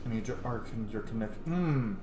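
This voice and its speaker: A man's voice: medium pitch, normal speed, over music. A man in his thirties giving casual, sweary game commentary, thinking aloud as he plays. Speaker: game streamer. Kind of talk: casual roleplay commentary over gameplay. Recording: echoey room.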